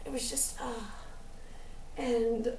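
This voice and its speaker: A woman's voice: a little high, fairly even intonation, slow, warm tone, reverberant, far from the mic, a little hiss or hum, low-quality recording. A young American woman with a mixed New Jersey and slightly Southern accent, telling a rambling, chatty vlog story full of fillers. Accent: mixed American, New Jersey with a light Southern drawl. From United States. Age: young adult. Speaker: vlogger.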